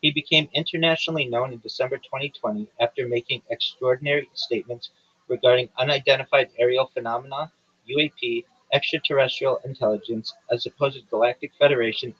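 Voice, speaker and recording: A man with a husky, mid-pitched voice hosts a livestream. He talks in a measured, formal way that gives way to hesitant, casual chat. The audio sounds dull and lo-fi. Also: steady tone. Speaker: livestream host. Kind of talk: reading a prepared statement, then casual chat.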